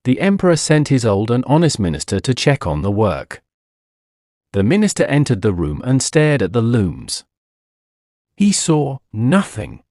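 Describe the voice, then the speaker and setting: A man narrating a scripted story for English learners in a deliberate, clearly phrased, expressive storytelling manner. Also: mid-range voice, no echo, clean studio sound. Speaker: audiobook narrator.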